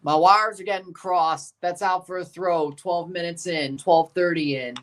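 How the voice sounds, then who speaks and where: Man, stream host, play-by-play calls, dry asides, slightly hoarse. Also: high-pitched, fairly even intonation, unhurried, fairly bright tone. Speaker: livestream watchalong host. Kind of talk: live match reaction and play-by-play.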